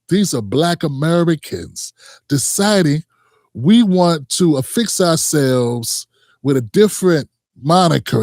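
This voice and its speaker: Heated high-pitched guy ranting to his viewers, swearing a lot, dry mic. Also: a little mellow, no room sound. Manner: heated, emphatic, profane ranting; addresses viewers directly. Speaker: commentary host. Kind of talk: reaction commentary.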